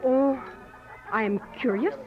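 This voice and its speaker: Anxious high-voiced young French woman. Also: slow pace, soft background music. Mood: guarded, earnest. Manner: hesitant, polite, earnest. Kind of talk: 1940s American radio drama acting. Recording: muffled old radio broadcast recording.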